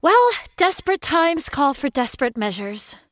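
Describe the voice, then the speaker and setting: Spoiled young 1920s rich girl, high voice that swings around a lot, flustered n defiant, radio play. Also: sing-song, normal speed, noisy background. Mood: conspiratorial, resentful. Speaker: actor. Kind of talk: 1920s period audio drama acting.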